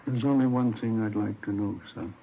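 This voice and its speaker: A man's voice: on the low side, a little fast, vocal fry. An actor playing a heartbroken, weary old grandfather in a 1950s radio drama. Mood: wounded, weary. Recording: tinny, noisy old radio recording.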